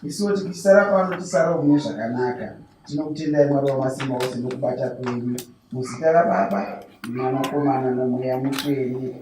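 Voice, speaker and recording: Male actor as reverent Zimbabwean prayer leader, solemn family drama, soft mellow tone, very roomy distant recording with faint noise. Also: mid-range voice, fast, lightly acted. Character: reverent man leading a family prayer at a bride-price ceremony. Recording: echoey room, distant mic, faint noise.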